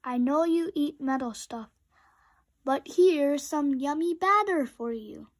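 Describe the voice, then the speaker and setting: Woman acting out a high-pitched, excitable storybook rabbit. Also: slow pace, no echo, clean studio sound. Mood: exasperated. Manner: exclamatory, wailing and shouting. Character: excitable, fussy, exasperated young male rabbit. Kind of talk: children's storybook character voice.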